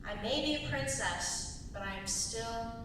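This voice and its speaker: A woman's voice: unhurried, lightly acted. A woman playing a self-assured, teasing young princess in a dramatic stage reading, with sardonic banter. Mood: wry. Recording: very echoey hall, distant mic, rumbly noise.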